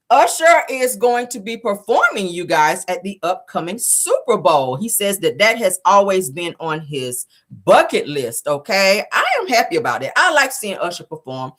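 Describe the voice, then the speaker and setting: A woman hosting a casual gossip show, sassy and colloquial, repeating phrases for emphasis. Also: medium pitch. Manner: sassy, animated asides. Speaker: online show host. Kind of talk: casual celebrity gossip commentary.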